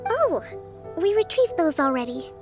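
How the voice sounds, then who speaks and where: A woman playing a gentle, composed young island leader in a video game, speaking politely and with measured calm. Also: very high-pitched, over music, exaggerated voice. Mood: reassuring. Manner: polite, measured, soft-spoken.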